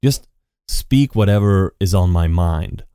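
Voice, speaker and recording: Slow, low-voiced man rambling on his podcast. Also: animated, dark tone, clean tone, no room sound, clean studio sound. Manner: rambling, many restarts and repeated "and". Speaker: podcast host. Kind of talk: unscripted solo podcast monologue. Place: home setup at a computer. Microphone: studio podcast mic with effects.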